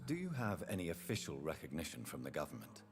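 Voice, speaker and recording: Newly made vampire physician, for a game: very deep, terse, questioning, faint music underneath. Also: male voice, wildly swooping pitch, quick pace, full character voice. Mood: serious. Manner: terse, guarded, inquisitive. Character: wary, questioning male doctor newly turned vampire. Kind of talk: scripted video game dialogue.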